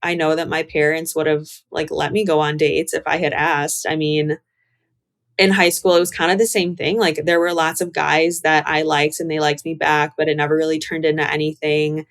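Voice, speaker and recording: A young woman with an American accent and a low-pitched voice. She speaks as a podcast guest in a casual, candid style, reflective and conversational, with plenty of informal phrasing. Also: little pitch movement, normal speed. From Chicago, United States. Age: young adult.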